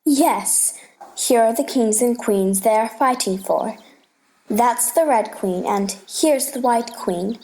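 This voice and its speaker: A woman voicing a gentle scholarly uncle, patient and teasing as he explains things in a storybook play reading. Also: a little high, slow, poor audio. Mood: patient, explaining.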